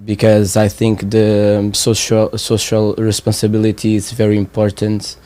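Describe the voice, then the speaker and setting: A man in his twenties with a Portuguese accent, speaking English as a second language in a casual conversation, halting and searching for words with many fillers. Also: fairly low pitch, completely flat delivery, mellow. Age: 20s. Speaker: training participant.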